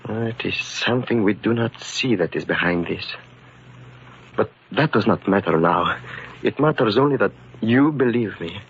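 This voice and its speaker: An actor playing a bewildered, earnest immigrant shoemaker in a radio drama, speaking formally and carefully. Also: male voice, fairly low pitch, expressive, normal speed, breathy edge, exaggerated voice. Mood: pleading. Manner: formal, careful speech without contractions. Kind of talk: old-time radio drama acting. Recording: muffled, noisy vintage radio recording.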